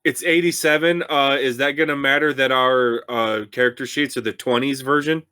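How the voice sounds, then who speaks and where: Bright-voiced male player, checking details. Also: medium pitch, fairly even intonation, normal speed, clean tone. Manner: careful, asks clarifying questions. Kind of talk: casual chat.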